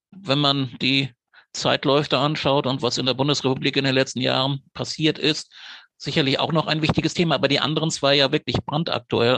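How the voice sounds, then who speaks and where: A man's voice: medium pitch, a bit bright, no echo. A man hosting a podcast, moderating an interview in a casual conversational style. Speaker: podcast host.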